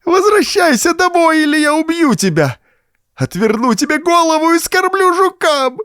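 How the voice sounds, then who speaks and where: A man's voice: very high voice, sing-song, fairly bright tone, dry recording, clean studio sound, voice pushed up, full character voice. A man voicing a big, simple-minded, deranged young brute in an audiobook, bellowing threats in a strained, shrieking voice. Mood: shrill frenzy. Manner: bellowing, howling threats in slow bursts.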